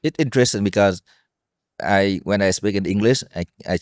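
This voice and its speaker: A man's voice: lowish voice, normal speed, no room sound, clean studio sound. A man with a Vietnamese accent hosting a podcast, asking questions in casual second-language English. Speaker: podcast host.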